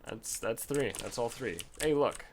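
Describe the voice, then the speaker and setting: A man casually commentating his own gameplay, swearing freely and bursting into frustrated yells. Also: mid-range voice, unhurried, slight background noise. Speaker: gaming commentator. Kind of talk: casual let's play commentary.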